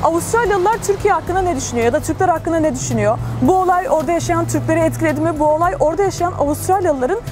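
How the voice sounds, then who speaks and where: A woman's voice: extremely high pitch, racing, hardly any pauses. A woman vlogger from Turkey, talking chattily as she introduces her street interviews outdoors near a market.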